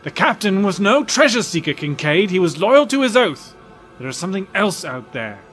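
A man's voice: high voice, very animated, moderate pace, fairly bright tone, faint music behind. A man playing an insistent, loyal spaceship crewman in an audio drama, earnest and emphatic. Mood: urgent.

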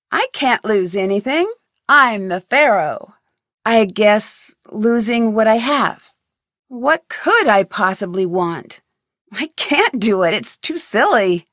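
A woman's voice: mid-range voice, expressive, slow, a bit bright, no room sound, studio quality. A woman voicing a curious, blunt young female detective in a video game, asking direct, probing questions. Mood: inquisitive.

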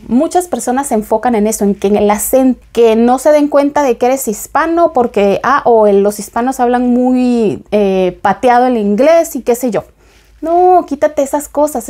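A woman, giving casual advice to camera in a small home room on a lapel mic, lively and gesturing as she talks. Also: on the high side. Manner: lively, gesturing with both hands, talks straight to the viewer. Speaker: vlogger.

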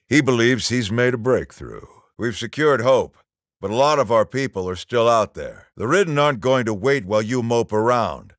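An actor playing a stern, middle-aged American general in a video game, giving orders with clipped military authority. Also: male voice, fairly low pitch, no room sound, studio quality. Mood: impatient, urgent. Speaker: military commander. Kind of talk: video game mission briefing dialogue.

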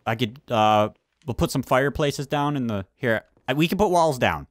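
A man hosting a gaming video, giving excitable, urgent callouts and casual roleplay commentary as he plays with others. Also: medium pitch, moderate pace, dry recording, studio quality.

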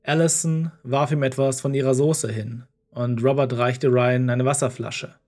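Ein Mann liest als Erzähler eine Geschichte vom Skript. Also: male voice, medium pitch, fairly even intonation, a little slow, darkish tone. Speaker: narrator. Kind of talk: scripted story narration.

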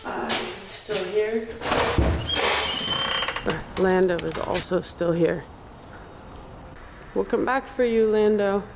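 Young woman, playful vlog host, slow talker, handheld camera mic, noisy background. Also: medium pitch. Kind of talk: casual vlog chat.

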